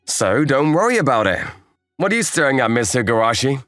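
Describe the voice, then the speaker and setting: Man playing animated, easygoing young programmer. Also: medium pitch, fairly quick, soft background music. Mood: reassuring. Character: calm, kindly young male programmer, step-brother and mentor. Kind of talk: anime-style manga dub with inner narration.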